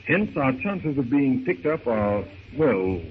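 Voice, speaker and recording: An actor playing a practical, level-headed gentleman adventurer with a measured, matter-of-fact delivery in old radio drama style. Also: mid-range voice, sing-song. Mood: sober, reassuring. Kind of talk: 1930s radio drama acting. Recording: tinny, noisy old radio recording.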